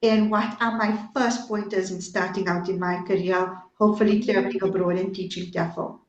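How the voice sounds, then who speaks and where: A woman's voice: medium pitch, steady tone, normal speed. Rambling woman host, roomy lo-fi sound. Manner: long rambling questions with fillers and restarts. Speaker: podcast host. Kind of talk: casual podcast interview.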